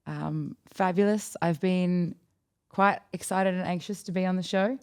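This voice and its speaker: A woman's voice: on the low side, fairly even intonation, unhurried, smooth. A young woman with an Australian accent, a podcast guest telling stories in a dry, self-deprecating way. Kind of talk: casual storytelling chat.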